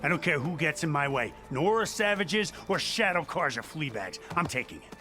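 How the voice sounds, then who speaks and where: Game villain: impatient gang leader, folksy sarcastic swagger, animated and hard-edged, light music underneath. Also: male voice, fairly high pitch, normal speed. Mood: menacing sarcasm. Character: gruff, swaggering male scavenger gang boss, impatient bully. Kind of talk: video game cutscene acting.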